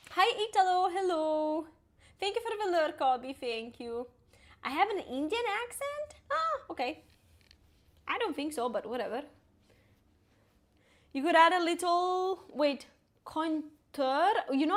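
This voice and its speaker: A woman in her twenties from Ukraine, speaking with an Italian accent, chatting casually with live-stream viewers and reading their messages aloud while cooking. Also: high-pitched. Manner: chatty, talks to viewers and reads chat aloud, lots of "like".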